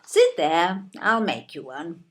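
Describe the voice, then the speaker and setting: An actress playing a fussing, incredulous older British wife in an audio play, fretful and full of exclamation. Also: female voice, on the low side, wildly swooping pitch, slow pace, exaggerated voice. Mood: bewildered. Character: fussing, indignant older wife, doubting her husband.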